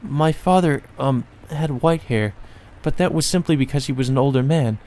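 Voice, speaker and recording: A man's voice: medium pitch, normal speed, dark tone, voice pushed up, close to an everyday voice. A man voicing a timid young girl from a visual novel, hesitant, polite and stammering. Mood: nervous.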